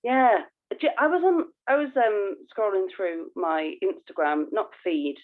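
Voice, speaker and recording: A woman's voice: mid-range voice, a little slow. A woman co-hosting a podcast in casual chat, informal and thinking aloud. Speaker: podcast co-host. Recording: thin, narrow phone-like line.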